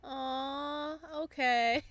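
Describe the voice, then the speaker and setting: A woman with a fairly high voice hosts a casual game playthrough. She talks expressively and informally, reacting openly as she comments. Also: steady tone, extremely slow, fairly bright tone, no room sound. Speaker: gaming channel host. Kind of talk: casual playthrough commentary, reading visual novel aloud.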